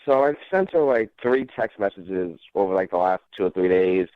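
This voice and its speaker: A man's voice: deep voice, lively intonation, normal speed, dry recording. A young man calling a radio show, talking casually and earnestly in slangy speech over the phone. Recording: dull dry phone line.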